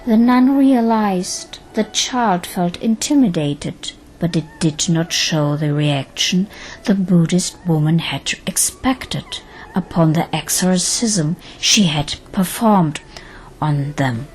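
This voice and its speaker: A woman narrating a scripted audio drama in a measured, dramatic storytelling manner. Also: fairly low pitch, darkish tone, a little hiss or hum, low-quality recording.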